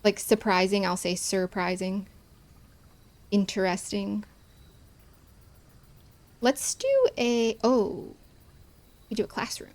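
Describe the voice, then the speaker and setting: A woman's voice: mid-range voice, animated, takes it slowly, pauses often. A woman from the American Midwest with a Chicago accent, chatting casually while streaming a game, with long vowels and careful over-enunciation.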